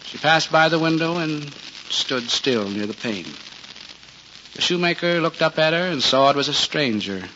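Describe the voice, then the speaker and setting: An actor as the narrator of a gentle 1940s radio Christmas tale, telling it in a measured, literary way. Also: male voice, mid-range voice, a little hiss or hum. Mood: tender. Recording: muffled 1940s radio recording. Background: music.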